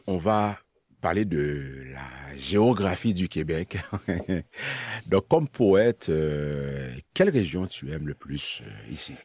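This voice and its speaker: A radio host with a very deep, husky voice, chatting in a studio. He jokes and laughs easily with his guest. The sound is close and dry. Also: darkish tone, no echo. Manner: chatty, jokey, laughs often. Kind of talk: radio interview. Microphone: studio mic.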